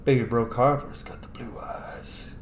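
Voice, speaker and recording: A man's voice: medium pitch, fairly even intonation, a little slow, slight background noise. A man hosting a video game let's-play with chatty, theatrical, sweary casual commentary. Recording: roomy echo.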